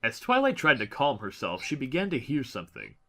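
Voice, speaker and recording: A man reads scripted fiction as a dramatic audiobook narrator. His voice sits at an average male pitch and has a bright, forceful tone. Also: huge pitch swings, a little fast.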